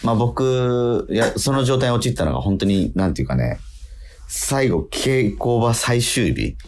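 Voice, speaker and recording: A middle-aged man chatting casually as a radio host, in a hesitant, halting and self-doubting way. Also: medium pitch, expressive, darkish tone. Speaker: radio host and stage actor.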